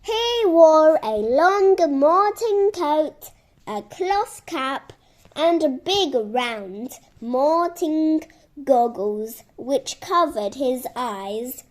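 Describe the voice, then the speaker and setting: Very slow, high-voiced woman storyteller. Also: lively intonation. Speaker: children's story reader. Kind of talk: scripted children's storytelling.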